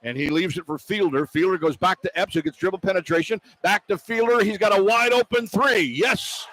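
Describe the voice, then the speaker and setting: A man doing live radio basketball play-by-play, describing the action in short, clipped phrases that build toward excited calls. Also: high-pitched, brisk, rough recording. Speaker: play-by-play announcer. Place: broadcast position at an indoor arena.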